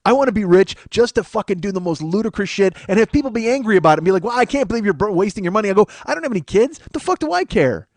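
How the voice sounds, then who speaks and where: Excitable, profane male podcast host. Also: sits high, racing, few pauses, dry recording. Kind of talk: rambling comedic monologue. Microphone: studio microphone.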